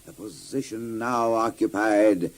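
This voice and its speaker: An actor playing an ambitious, scheming warlord king with a grandiose, deliberate delivery in an audio Bible drama. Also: fairly low pitch, vocal fry, background noise.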